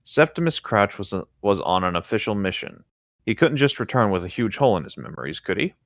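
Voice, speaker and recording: A man's voice: lowish voice, sing-song, brisk, vocal fry, fairly bright tone, no echo. A man co-hosting a read-aloud of a story, narrating the prose and its dialogue with brief reactions. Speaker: co-host reading fan fiction aloud.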